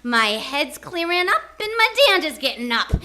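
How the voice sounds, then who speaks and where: Feisty wisecracking young dame, bright, swooping delivery, old radio serial. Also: female voice, sits high, very animated, moderate pace, exaggerated voice. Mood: defiant. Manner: brassy, wisecracking, slangy. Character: feisty, stubborn young woman sidekick, sassy acrobat librarian. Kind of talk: live radio drama in old detective serial style. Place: theater stage, live performance.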